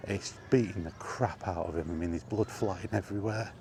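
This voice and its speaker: Male vlog host, deep-pitched, conversational and casual, talking straight through with asides, faint ambient noise in the background. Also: normal speed, mellow. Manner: conversational, rhetorical questions and asides. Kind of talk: casual film chat monologue.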